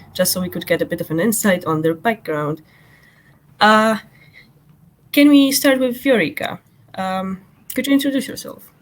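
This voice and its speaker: A woman moderating an online panel, polite and slightly hesitant, with frequent fillers, on a video call. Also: medium pitch.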